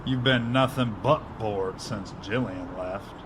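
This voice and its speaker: A man's voice: on the low side, slow. A man playing a businesslike, nagging male enforcer in an audio drama. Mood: gruff. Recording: loud background vehicle ambience noise.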